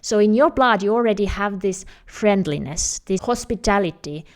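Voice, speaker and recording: A woman with an Estonian accent coaches job seekers in a steady mid-pitched voice. She talks in an earnest, explanatory way, and her English phrasing is slightly non-native. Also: normal speed. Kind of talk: direct-to-camera advice video.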